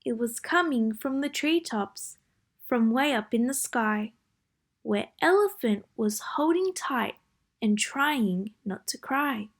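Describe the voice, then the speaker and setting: Slow, high-voiced woman reading a storybook. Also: animated. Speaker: children's story reader. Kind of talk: read-aloud storybook narration.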